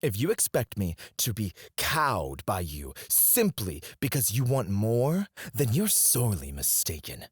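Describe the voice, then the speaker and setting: A man's voice: lowish voice, huge pitch swings, a little slow, few pauses, husky, dry recording, studio quality, heavily acted. A man playing a cocky, disdainful royal alchemist in a scripted fantasy audio roleplay, speaking in a formal, haughty, theatrical way. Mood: accusing, defiant. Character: cocky, disdainful male royal alchemist, sharp-witted and proud. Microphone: close, dry studio mic.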